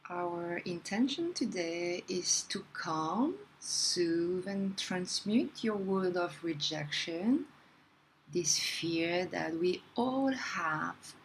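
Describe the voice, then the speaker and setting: A woman guides a meditation at an extremely slow pace, with long pauses between phrases. Her voice sits a little low, and her delivery is soothing and deliberate. There is clear ambient noise behind her. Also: expressive, low-quality recording.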